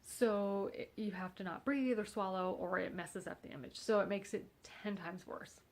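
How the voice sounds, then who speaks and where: Congested, wry woman with a gravelly voice. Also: mid-range voice, normal speed. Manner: rambling and wry, with self-mocking humor. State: congested, nasal. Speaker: vlogger. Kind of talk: casual chat.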